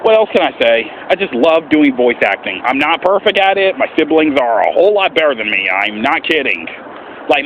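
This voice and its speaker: A man talking casually and earnestly in a vlog as an amateur voice actor, with an animated, swooping delivery. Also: medium pitch. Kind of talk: casual vlog explanation with voice demonstrations. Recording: muffled, faint background noise.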